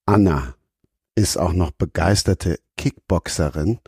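A man's voice: low voice, takes it slowly, darkish tone, husky, no room sound, studio quality. A man hosting a podcast, wrapping up the conversation in an upbeat, teasing way. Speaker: podcast host.

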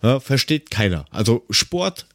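A man from Lower Austria with an Austrian accent, chatting casually and sarcastically as a podcast co-host, given to ranting and crude jokes. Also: sing-song, unhurried, a bit bright, dry recording, studio quality. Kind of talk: casual chat.

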